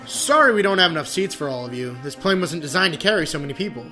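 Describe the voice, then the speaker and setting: Practical hero, male actor: forceful bright tone, slightly high, brisk, light music behind. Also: animated. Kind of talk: superhero audio drama acting.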